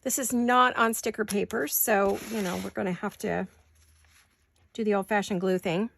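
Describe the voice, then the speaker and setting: A woman with a sore throat chatting casually to viewers while she crafts at home, thinking out loud as she works. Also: medium pitch, steady tone, normal speed, creaky, slight background noise. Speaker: craft vlogger. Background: scissors and crafting clicks.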